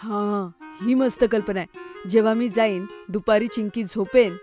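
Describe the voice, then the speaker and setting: A woman's voice: mid-range voice, moderate pace, darkish tone, soft background music, close to an everyday voice. A woman voicing an eager, competitive young schoolboy in a children's cartoon, given to exclaiming and muttering plans. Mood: plotting. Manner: exclamatory, self-talking.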